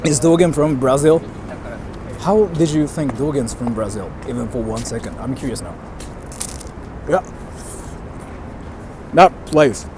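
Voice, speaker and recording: A man's voice: medium pitch, unhurried, long pauses. A young man chatting casually on a live stream as he walks outdoors, rambling and reading out viewer comments, with street sounds behind him. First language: bilingual English and Japanese. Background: faint street and traffic noise.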